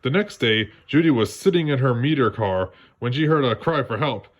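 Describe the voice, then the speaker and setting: A man narrating a children's read-along story in a lively storytelling manner. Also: medium pitch, normal speed. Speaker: storybook reader.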